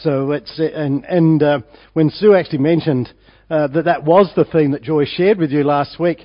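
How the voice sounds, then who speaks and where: An older Australian man, hoarse from a winter cold, talking as a pastor in a rambling, halting way with many fillers before his sermon. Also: a little high, steady tone. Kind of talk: informal sermon preamble. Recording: dull lo-fi church recording.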